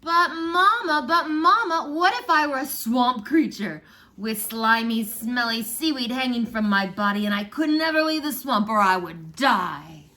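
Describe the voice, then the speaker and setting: A woman voicing a small child who keeps pleading with their mama in a whiny, drawn-out way, for a storybook read aloud. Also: on the high side, expressive, sharp tone, pitched above the performer's own voice.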